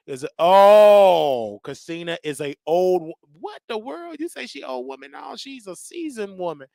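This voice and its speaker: Man, 30s, livestream host, high bright voice, loud emphatic repeats, dry close mic. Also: gravelly, no echo. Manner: loud, repetitive, emphatic rants with sudden shouts. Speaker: show host. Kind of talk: casual livestream talk.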